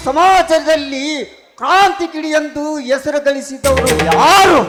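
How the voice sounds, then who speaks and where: A man playing a foster father of an abandoned baby, declaiming in a dramatic monologue that moves between sobbing and shouting. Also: very high voice, takes it slowly. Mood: impassioned. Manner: declamatory, shouting and weeping by turns. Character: impassioned man who takes in an abandoned baby. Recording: loud music behind.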